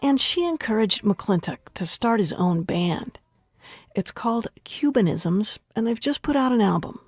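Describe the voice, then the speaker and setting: A woman radio reporter narrating a news feature in a measured, even way. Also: medium pitch, slightly rough, dry recording, clean studio sound. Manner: measured, even storytelling delivery. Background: band music under the voice.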